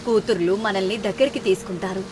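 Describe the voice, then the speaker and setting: A woman's voice: medium pitch, fast talker, noisy background, close to an everyday voice. A woman voicing a distressed older aunt, an earnest wife who pleads and coaxes plaintively in a moral story. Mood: coaxing. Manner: plaintive, coaxing. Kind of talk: moral story character dialogue.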